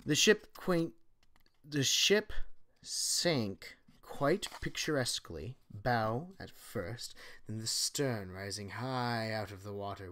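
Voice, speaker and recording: A man reading aloud as a stern, doomed German submarine commander in a put-on German accent, in a slow, formal delivery that stumbles over some words. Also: lowish voice, bright, forceful tone. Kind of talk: amateur horror story reading.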